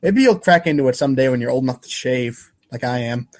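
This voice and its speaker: A man's voice: medium pitch, animated, fast. A man giving a wry, theatrical dramatic reading as a podcast narrator, now and then tripping over long words.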